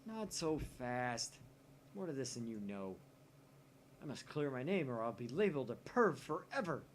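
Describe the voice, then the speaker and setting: A man's voice: medium pitch, normal speed, slight background noise, close to an everyday voice. Man playing a melodramatic, paranoid young mad scientist. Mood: defensive. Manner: theatrical, excitable, suspicious. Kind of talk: visual novel read aloud in character.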